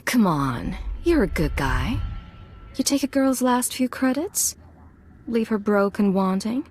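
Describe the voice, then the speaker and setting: A woman's voice: medium pitch, animated, slow, darkish tone, a little hiss or hum. A woman playing a cool, confident secret agent posing as a mercenary in a video game, composed and guarded. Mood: coaxing, teasing.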